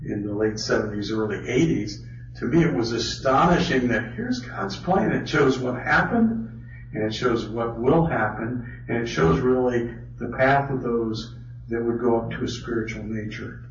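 A man teaches a Bible study in a conversational way, thinking out loud and restarting sentences as he goes. His voice sits at an ordinary middle pitch and is caught by a distant mic in an echoey hall. The sound is a little dull. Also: lively intonation, normal speed, slight background noise. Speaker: Bible study speaker leading a question session. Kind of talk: informal teaching talk. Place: meeting hall with an audience.

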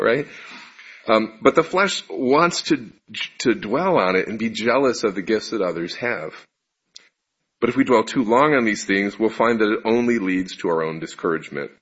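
Male preacher, deep and husky voice, conversational sermon, muffled lo-fi recording. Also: a bit bright. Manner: conversational, self-deprecating, asks the audience questions. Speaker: preacher giving a congregation talk.

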